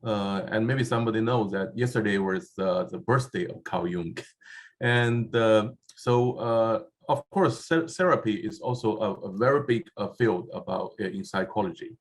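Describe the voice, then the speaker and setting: Halting low-voiced man chairing a seminar. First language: not English. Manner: halting, many fillers and restarts, laughs at himself. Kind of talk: hesitant spoken introduction. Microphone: handheld or desk microphone.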